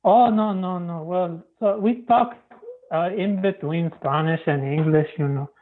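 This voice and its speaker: A man's voice: high voice, a little slow, a little hiss or hum. A male caller on a call-in show, talking hesitantly and padding every phrase with "you know". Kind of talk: call-in phone chat. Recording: tinny phone line.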